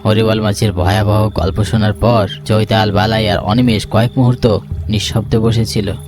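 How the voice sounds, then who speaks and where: Male ghost-story narrator over clear music. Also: lowish voice, level tone, normal speed. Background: background music.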